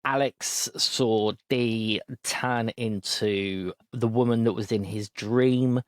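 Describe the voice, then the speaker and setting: A man's voice: on the low side, takes it slowly, no echo, clean studio sound. Man recapping as game master. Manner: relaxed recap with frequent ums. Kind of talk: recapping a tabletop game session.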